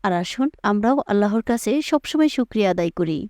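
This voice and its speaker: Woman reading story narration, mellow voice, really dry sound. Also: medium pitch, expressive, fast talker, dry recording.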